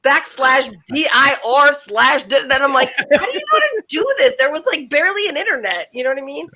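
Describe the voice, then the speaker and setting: An American woman hosting a casual group chat over a video call, telling stories in a lively, animated way with frequent laughter. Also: high-pitched, brisk. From United States. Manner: chatty, animated storyteller who laughs often. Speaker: host.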